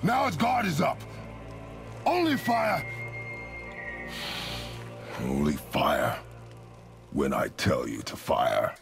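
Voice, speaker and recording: A man playing a stern, battle-hardened warrior father in a video game, gruff and terse, with a very deep, gravelly voice. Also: expressive, slow, pauses often, over music, full character voice. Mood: stern, scolding. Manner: terse, commanding, clipped sentences. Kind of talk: cinematic video game acting.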